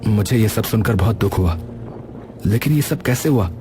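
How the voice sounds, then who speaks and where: A man's voice: on the low side, lively intonation, normal speed, over music, close to an everyday voice. A man playing a kind, polite young food seller in a cartoon story, speaking earnestly and reassuringly. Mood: sympathetic, reassuring. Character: kind, polite young rajma-rice seller helping others. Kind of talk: Hindi cartoon dubbing.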